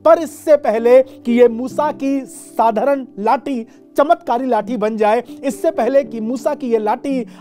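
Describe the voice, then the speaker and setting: A man in his forties, a bishop with an Indian accent, preaching a sermon to camera and slowing down to stress English phrases. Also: very high voice, warm tone, faint music behind. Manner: dramatic, slows for emphasis, switches into English phrases. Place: dark studio set with a lamp.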